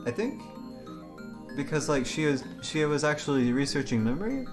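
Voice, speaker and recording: A man with a fairly high voice gives casual live commentary as a game streamer. He is giggly and chatty as he goes, and music plays clearly behind him. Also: very animated, normal speed. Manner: giggly, breaks into laughter while talking.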